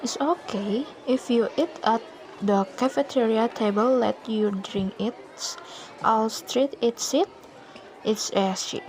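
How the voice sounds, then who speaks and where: Slow, high schoolgirl friend, played by a woman. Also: darkish tone, slight background noise, low-quality recording. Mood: coaxing. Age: young adult. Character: schoolgirl friend, outwardly caring and reassuring.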